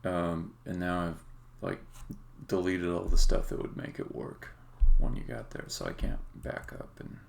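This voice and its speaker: Low, very creaky-voiced man thinking aloud. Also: level tone, a little slow. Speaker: game developer streaming. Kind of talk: casual live-coding commentary, thinking aloud. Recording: faint noise, keyboard typing and clicks. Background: computer keyboard typing and clicking.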